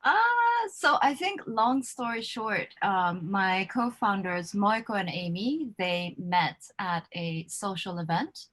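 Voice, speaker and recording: A woman's voice: mid-range voice, takes it slowly, few pauses, a bit bright. Woman hosting a live chat, chatty, laughs a lot, lots of "like", sounds like a video call. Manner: chatty, laughs often, many fillers like "like" and "you know". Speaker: host. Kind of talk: casual livestream interview.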